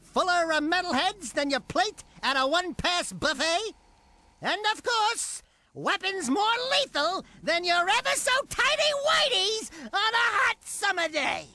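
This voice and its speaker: An actor playing a small, cocky animal sidekick in a video game, sarcastic, whiny and theatrically mouthy. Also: male voice, very high voice, big pitch swings, measured pace, pushed and bright, dry recording, heavily acted. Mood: sarcastic, mocking. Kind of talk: cartoonish video game acting.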